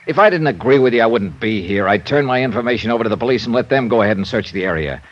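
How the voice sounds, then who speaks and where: An actor playing a tough, steady American investigator, matter-of-fact and persuasive, in old-time radio drama style. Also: male voice, mid-range voice, racing, close to an everyday voice. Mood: firm, urgent. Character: tough, steady male insurance investigator hero. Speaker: lead and narrator. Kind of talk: old-time radio drama acting, first-person detective narration. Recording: muffled old radio recording.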